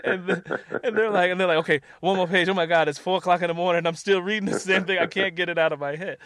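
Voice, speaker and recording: A man's voice: high voice, steady tone, quick pace, a bit bright, no room sound, studio quality. A man hosting a conversational literary interview podcast, chatty and wordy, repeating phrases for emphasis.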